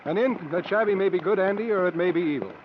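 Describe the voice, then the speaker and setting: A man's voice: sits high, fast, lightly acted. An actor playing a shrewd, burly travelling strongman of thirty, folksy and wry, in 1950s radio drama style. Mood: wry, watchful. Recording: dull, noisy old 1950s radio recording.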